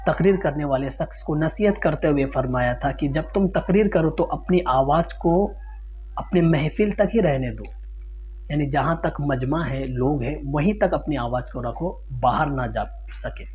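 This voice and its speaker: A man's voice: fairly high pitch, moderate pace. A man delivering an informal Islamic lecture, explaining didactically, citing sources and restating his points in simpler words. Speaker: Islamic religious teacher answering a ruling question. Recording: dull lo-fi sound with loud hum.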